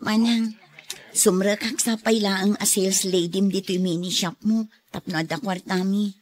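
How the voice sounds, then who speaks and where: A woman's voice: medium pitch, fairly even intonation, moderate pace, close to an everyday voice. An actress playing a worn-down young wife and mother who pleads, in a Filipino radio drama with a Filipino accent. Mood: weary, pleading.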